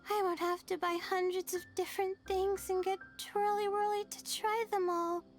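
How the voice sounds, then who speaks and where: Casting: gentle, weary young scholar girl for a game, very high pitch, earnest and slightly anxious. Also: level tone, normal speed, soft background music. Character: gentle, studious, weary young female student. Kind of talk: animated game voice acting.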